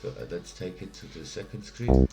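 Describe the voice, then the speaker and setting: A man with a Swiss German accent giving a software tutorial, halting and hesitant, with many fillers. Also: deep voice, lively intonation, echoey room, music in the background. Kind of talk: screen-recorded software tutorial.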